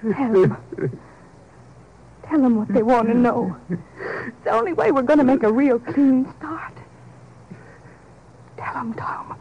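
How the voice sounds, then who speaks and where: Pleading country wife, very high pitch, folksy phrasing, earnest, dull 1950s radio sound. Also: female voice, slow pace, long pauses, husky, exaggerated voice. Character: devoted, plainspoken country wife, pleading and steadfast. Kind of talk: 1950s radio drama acting. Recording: dull, band-limited old radio recording.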